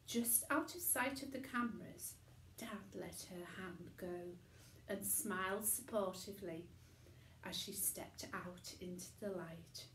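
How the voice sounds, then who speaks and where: A woman reading a children's story aloud in an expressive bedtime-story narration. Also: mid-range voice, slow pace, slight background noise. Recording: echoey room.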